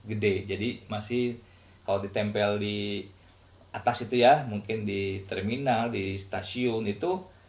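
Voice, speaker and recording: A man's voice: lowish voice, measured pace, vocal fry. A man with an Indonesian accent giving a casual, conversational product review, explaining as he goes. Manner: explanatory, conversational, often tags phrases with "ya" and "gitu". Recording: echoey, reverberant room.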